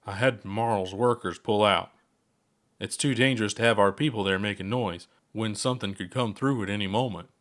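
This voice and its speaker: A kindly elderly scientist, voiced by a man in a science fiction audiobook. The voice is fairly low and the talk is measured and explanatory. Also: fairly bright tone, natural voice. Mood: serious, explaining.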